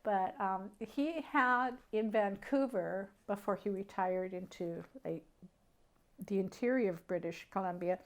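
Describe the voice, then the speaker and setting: A middle-aged woman from Canada sharing personal memories casually as an interviewee, thinking aloud in a halting, conversational way. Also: medium pitch.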